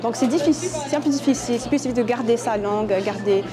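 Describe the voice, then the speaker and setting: Quick-talking young woman, crowd around. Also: on the high side, over music.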